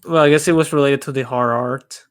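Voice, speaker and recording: A man co-hosting a podcast in casual chat, talking haltingly and repeating his words as he goes. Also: mid-range voice, fairly even intonation. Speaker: podcast co-host.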